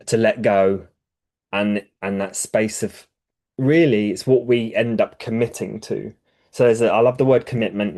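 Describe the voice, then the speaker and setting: Male podcast guest, somewhat low voice, thoughtful explainer, frequent self-corrections. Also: darkish tone. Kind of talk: casual podcast conversation.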